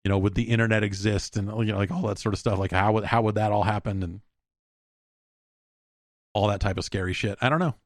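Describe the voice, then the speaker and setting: A man's voice: lowish voice, steady tone, quick pace, pauses often, dry recording, clean studio sound. A man hosting a podcast solo, chatting casually in a rambling, opinionated, profane way. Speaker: podcast host answering listener mail.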